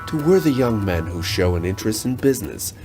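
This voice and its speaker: A man with a fairly low voice tells a children's folk tale from a script. His intonation swings widely and livelily. Guitar music is clearly heard behind him. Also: sing-song, fairly quick, poor audio. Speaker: storyteller.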